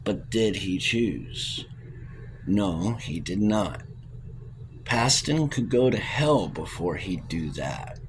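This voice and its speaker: Slow, measured male audiobook narrator. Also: sits low, expressive, a little hiss or hum, low-quality recording. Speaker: audiobook reader. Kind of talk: reading a novel aloud.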